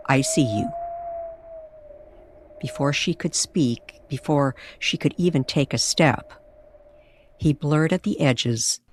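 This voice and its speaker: A woman reading a tender, eerie story as a dramatic narrator, deliberate and pausing between short phrases. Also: low voice, noisy background. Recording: music under the voice.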